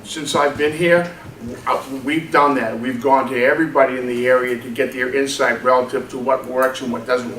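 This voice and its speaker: A man, a department official, speaking deliberately at a public meeting. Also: mid-range voice, vocal fry, a bit bright, slight background noise. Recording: echoey room from far off.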